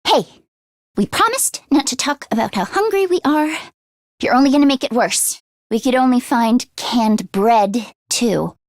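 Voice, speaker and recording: A woman voicing an earnest, anxious teenage girl mechanic in a video game, talking in a casual, expressive, youthful way. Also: a little high, a little slow, dry recording, clean studio sound. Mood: grumbling, disgusted. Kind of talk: English video game voice acting.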